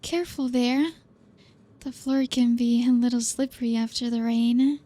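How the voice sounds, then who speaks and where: A woman voicing an elegant, teasing female vampire who welcomes a guest, in a soft ASMR roleplay delivery with a possessive edge. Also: on the high side, fairly even intonation, normal speed, lightly acted. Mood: teasing, hospitable.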